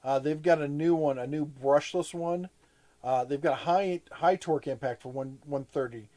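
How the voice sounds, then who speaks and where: A man's voice: a little high, moderate pace, warm tone. A man hosting a casual live chat, rambling with frequent restarts and plenty of enthusiasm. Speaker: host.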